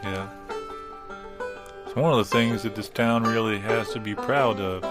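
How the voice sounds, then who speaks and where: A man playing a mild, musing small-town American in improvised comedy, deadpan, hesitant and agreeable. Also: fairly low pitch, measured pace, close to an everyday voice. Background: banjo or guitar music.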